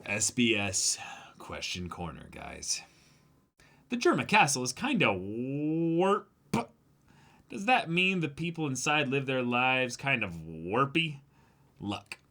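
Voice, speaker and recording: A man with a bright, forceful voice at a middle pitch. He co-hosts a manga reading stream, moving between casual chat and reading aloud. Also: sing-song, slow.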